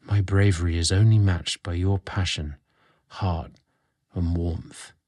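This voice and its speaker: Man as a low, tender young butler in love. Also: flat delivery, measured pace, no room sound, studio quality. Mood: tender, adoring. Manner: soft, earnest, romantic.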